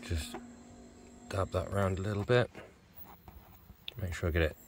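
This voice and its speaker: A British man in his forties giving a low-key, casual running commentary as he does a repair, half muttering to himself. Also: a little slow. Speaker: vlogger demonstrating a repair. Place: covered outdoor patio by a hot tub. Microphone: handheld phone camera mic. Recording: faint ambient noise, handheld phone recording.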